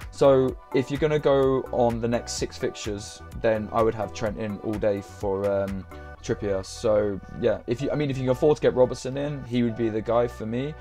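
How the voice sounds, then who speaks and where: A young man, chatting casually on a live stream, slightly croaky from a sore throat, hesitating often with ums and uhs. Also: medium pitch, steady tone, normal speed, few pauses, mellow, soft background music. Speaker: fantasy football streamer.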